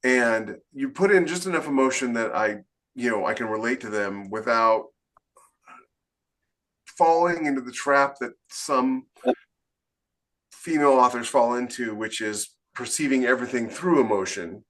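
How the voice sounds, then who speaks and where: Man, mid-pitched voice, podcast co-host, casual interview chat, emphatic delivery, illustrative imagined quotes. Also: lively intonation, normal speed, a bit bright, poor audio.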